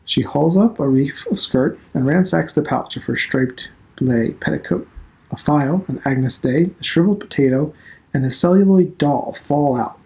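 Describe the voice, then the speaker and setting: A man reading a novel aloud as dramatic narration, deliberate and expressive. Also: a little high, gravelly, poor audio. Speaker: literature instructor reading aloud.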